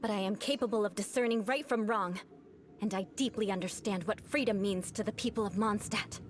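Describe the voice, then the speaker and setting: A woman's voice: medium pitch, brisk, a little hiss or hum, lightly acted. A woman voicing a cool aloof knight noblewoman. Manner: cool, composed, dry. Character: proud aloof young noblewoman knight, cool and sharp-tongued. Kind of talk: video game voice acting.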